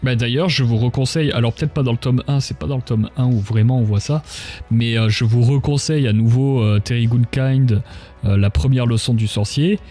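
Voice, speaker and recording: A man's voice: mid-range voice, steady tone, fairly quick, mellow, faint music behind. A man hosting a casual livestream interview, speaking in a hesitant, searching way and often repeating phrases. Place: live online stream.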